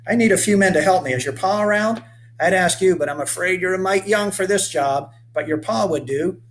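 Weathered, hearty old boatman, put on by a male storyteller; folksy, old-fashioned speech, voice pitched up. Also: a little fast, a little hiss or hum, natural voice. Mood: urgent, persuasive. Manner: folksy old-fashioned speech, calls the boy lad and son. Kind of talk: voiced character in a read-aloud story.

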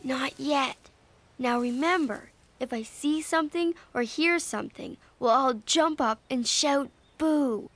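A woman's voice: high voice, measured pace, a bit bright. A woman voicing a cheerful, eager young bear cub with a childlike voice in a children's cartoon. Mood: eager, curious. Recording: music behind the voice.

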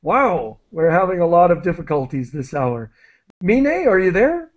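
A middle-aged man with a high-pitched voice runs a live online English lesson from a small room, talking through a headset. He explains things in a friendly way, full of fillers and restarts. The sound is dull and lo-fi, like video call audio. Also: very animated, a little slow. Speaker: English teacher hosting an online class.

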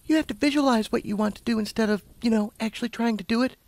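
A man voicing a timid, sweet young female pegasus pony in a soft falsetto, hesitant and gently encouraging, for a story reading. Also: fast talker, vocal fry, no room sound.

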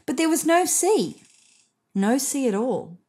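A woman narrating a children's picture book expressively, with deliberate emphasis on key phrases. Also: high-pitched, sing-song, a little mellow, breathy edge.

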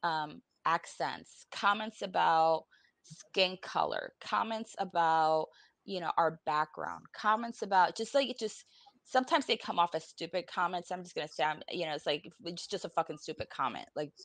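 A woman's voice: on the low side, animated, normal speed, gravelly, fairly bright tone, no echo. A woman in her forties from Puerto Rico, speaking candidly and bluntly on a video-call panel, sounding worn out. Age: 40s. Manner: blunt, informal, salty. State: weary, emotionally exhausted. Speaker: podcast guest. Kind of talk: candid personal panel talk. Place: room at home, on a video call.

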